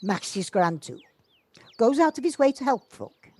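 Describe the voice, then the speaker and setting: Lively, kindly village neighbour played by an actress. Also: female voice, a little high, moderate pace, slightly rough. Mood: chatty, approving. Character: kindly, chatty, slightly reserved older neighbour woman. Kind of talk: amateur audio drama acting. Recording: home-recorded on a personal device.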